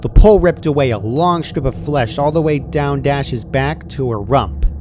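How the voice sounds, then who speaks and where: A man's voice: mid-range voice, moderate pace. A man reading a scripted story aloud as its narrator. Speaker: fan fiction narrator. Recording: clearly noisy home recording.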